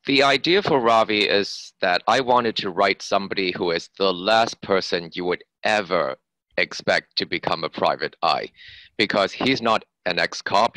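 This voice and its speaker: Guy with a really low voice, kinda sharp edged, explaining his book in an interview, long rambling sentences. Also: dry recording, rough recording. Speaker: author interviewed as guest.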